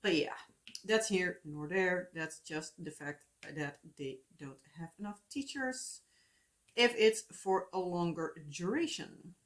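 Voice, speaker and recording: A woman's voice: fairly low pitch, slow pace. Dutch lady rambling about her craft project, lots of uh and like, recorded on her phone at home. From Netherlands. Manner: chatty, meandering, many fillers and asides. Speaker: crafting vlogger. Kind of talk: casual rambling chat.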